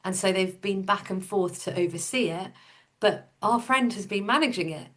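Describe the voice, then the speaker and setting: A woman's voice: medium pitch, moderate pace, a bit bright. A woman guest on a podcast, telling her own story in a casual, matter-of-fact, informal way.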